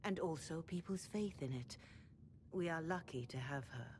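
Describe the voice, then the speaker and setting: A woman playing a dignified, devout senior religious mother in a video game, speaking in a formal, measured and deferential manner. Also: sits low, a little mellow, slight background noise, lightly acted. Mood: respectful. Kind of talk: video game cutscene acting.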